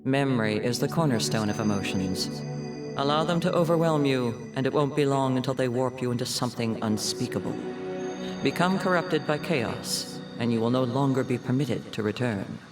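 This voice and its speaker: A man's voice: mid-range voice, normal speed, lightly acted. Man acting a stern, distant, ominous overseer. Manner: formal, stern. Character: cold, ominous male overseer giving warnings. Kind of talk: video game cutscene monologue. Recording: distant voice under prominent music.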